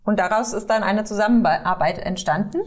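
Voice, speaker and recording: A woman hosting a podcast interview in a welcoming, clearly phrased manner. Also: mid-range voice, a little fast. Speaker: podcast host.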